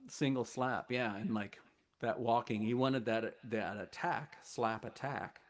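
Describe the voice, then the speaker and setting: A man's voice: mid-range voice, a little slow. A man from the United States, a guest on the show, telling rambling anecdotes with fillers in a casual chat. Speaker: guest bass player.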